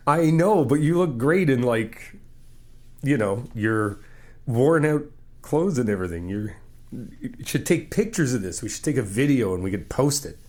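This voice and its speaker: A man doing an ASMR friend roleplay, talking to the listener in a playful, encouraging way, laughing often and explaining things calmly step by step. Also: mid-range voice, measured pace.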